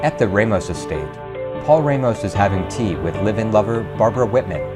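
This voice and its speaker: A man's voice: lowish voice, lively intonation, brisk, natural voice. A man reading scripted narration for an audio soap opera, as the narrator. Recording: music loud under voice. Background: loud music.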